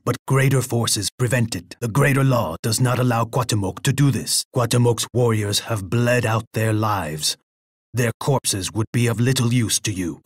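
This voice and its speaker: An actor playing a proud, bloodthirsty Aztec emperor in a video game, with a pompous, declamatory delivery. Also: male voice, medium pitch, slightly rough, dry recording, studio quality, natural voice. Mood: curt, refusing. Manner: pompous, declamatory, speaks of himself in third person.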